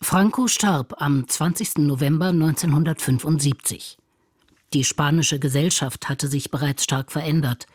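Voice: medium pitch, moderate pace, a little mellow, no echo, clean studio sound. A narrator reading a scripted radio documentary.

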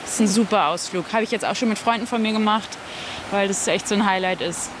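A woman in her thirties from Berlin talks in a relaxed, chatty way and often adds fillers and tag questions. She is recorded outdoors, with faint background noise around her. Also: medium pitch, quick pace. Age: 30s. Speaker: interviewee. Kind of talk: casual chat. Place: outdoors in a small town.

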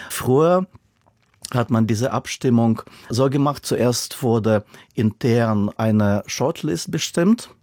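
A man's voice: lowish voice, mellow, husky, dry recording, studio quality. A man whose first language is not German, speaking as an author in a radio interview, thoughtful and given to repeating words for emphasis.

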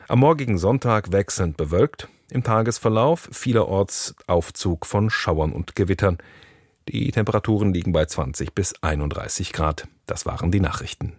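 A male newsreader with a very low voice reads the weather forecast in a measured, formal way. The recording is dry and close, with no room sound. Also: a little mellow, dry recording. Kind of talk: reading radio news and weather.

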